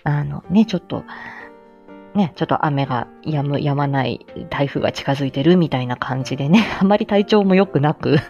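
A woman with a mature, low-set voice hosting a casual solo live stream, rambling in hesitant, self-effacing phrases with frequent small chuckles. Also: moderate pace. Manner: chuckles often between phrases. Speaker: live stream host and amateur voice actor. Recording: dull lo-fi audio, faint music behind.

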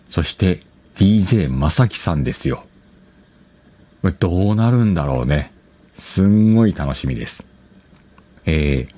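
A man's voice: low voice, warm tone. A man hosting a casual solo talk show, chatting in short, conversational phrases. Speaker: host of an audio talk show. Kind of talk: casual solo radio-style chat.

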